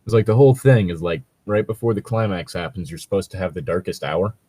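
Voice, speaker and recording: A man's voice: deep voice, fast, warm tone, breathy edge, no room sound. A man chatting casually as a co-host, rambling through commentary and swearing freely.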